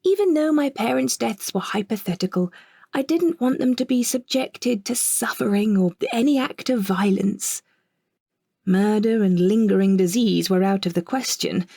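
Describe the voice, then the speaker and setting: A woman performing an audio drama monologue as an older woman wryly recalling her childhood, in a measured, precise, slightly husky voice with deliberate pauses. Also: medium pitch, warm tone, no room sound, studio quality. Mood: wry, reflective. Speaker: monologue narrator. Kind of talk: scripted first-person audio drama narration.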